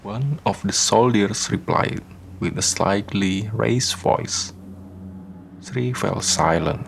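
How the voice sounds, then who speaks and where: A man narrating a scripted radio drama as a solemn, measured storyteller. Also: fairly low pitch, gravelly, a little hiss or hum.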